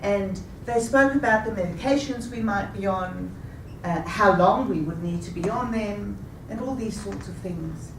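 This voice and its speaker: A woman in her fifties telling a rehearsed personal story with a measured, deliberate delivery. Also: mid-range voice, background noise. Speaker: speaker. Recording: echoey room, taken from a distance.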